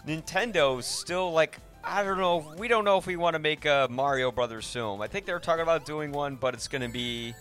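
A man's voice: fairly high pitch, fairly quick, hardly any pauses, fairly bright tone, soft background music. A man hosting a podcast, talking casually about films in a rambling, thinking-aloud way. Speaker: podcast host. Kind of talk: casual film discussion.

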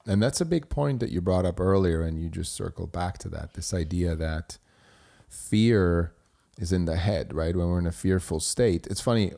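A podcast host who grew up in Switzerland and speaks German as his first language. His voice sits very low with a warm, mellow tone. He talks casually and keeps checking in with rhetorical questions. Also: moderate pace, vocal fry. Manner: chatty, asks rhetorical questions.

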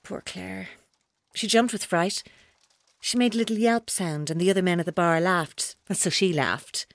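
An actress playing a controlling, taunting female captor in an audio drama, speaking in a deliberate, coaxing way. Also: on the low side, no room sound, natural voice. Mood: accusing, controlled. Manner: deliberate, coaxing, coldly mocking. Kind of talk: audio drama acting, monologue-like.

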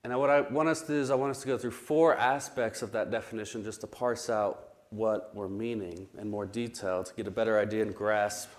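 Man teaching Bible study, recovering voice. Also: fairly low pitch. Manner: explanatory, with frequent restarts and pauses. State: recovering voice after illness.

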